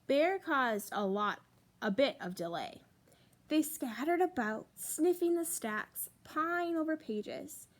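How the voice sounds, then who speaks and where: A woman with a high voice reads a picture book aloud to young children. Her pitch swings widely, and she leans on pauses to shape each phrase. She sounds like a practised storytime reader. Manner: expressive, emphatic pauses for young listeners. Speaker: librarian reading a storytime.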